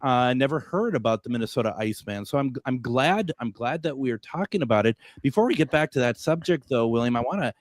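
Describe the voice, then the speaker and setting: A man's voice: medium pitch, expressive, fast talker, hardly any pauses, no room sound. A man, hosting a radio talk show, chatting casually and reading his own ads, restarting often with "uh".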